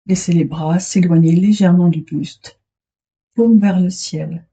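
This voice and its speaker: Woman, yoga relaxation guide, very mellow dark voice, slow soothing phrases, long pauses, dull lo-fi sound. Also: lowish voice, steady tone, slightly rough. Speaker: yoga nidra instructor. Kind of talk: guided meditation, relaxation instructions.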